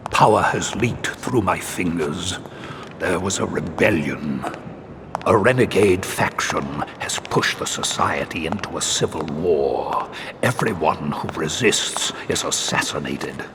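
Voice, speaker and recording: An actor playing a dying old patriarch of a secret society in a video game, grave, deliberate and breath-laden. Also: male voice, low-pitched, noisy background, exaggerated voice. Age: older. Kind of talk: scripted game cutscene acting.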